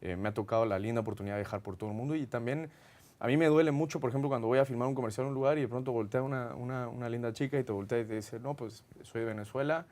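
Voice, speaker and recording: A man's voice: mid-range voice, rapid-fire, a little mellow. A man from Guatemala with a Guatemalan accent, a film director answering interview questions in an earnest, reflective way with frequent hesitations. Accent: Guatemalan Spanish.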